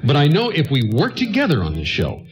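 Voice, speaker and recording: A man acting a middle-aged theater owner in a radio comedy play, an earnest salesman who flatters and pleads and gets flustered. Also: fairly high pitch, fairly quick, soft background music. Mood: apologetic, earnest. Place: stage before an audience.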